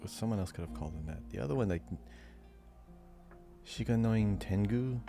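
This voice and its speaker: A low-voiced man chats casually as a quiz contestant on a podcast. His tone is dark and mellow, and faint music plays behind him. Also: animated, moderate pace, creaky. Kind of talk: casual chat.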